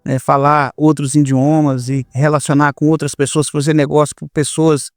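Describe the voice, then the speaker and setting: A man's voice: on the high side, level tone, normal speed, studio quality. A Brazilian man hosting a conversational podcast interview, affirming and echoing what his guest says. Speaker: podcast host.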